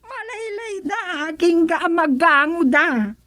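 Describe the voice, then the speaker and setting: A woman playing a chirpy, boastful gardener in an Ilocano comedy radio drama, with drawn-out comic delivery. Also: very high voice, animated, pushed and bright, full character voice. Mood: complaining.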